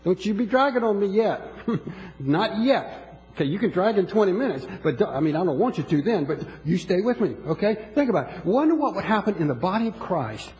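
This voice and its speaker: A man's voice: sits high, sing-song, fairly quick, darkish tone, big-room sound, muffled recording, faint music behind. A man preaching a sermon to his congregation in a church, emphatic and repeating his words as he talks to them. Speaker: pastor.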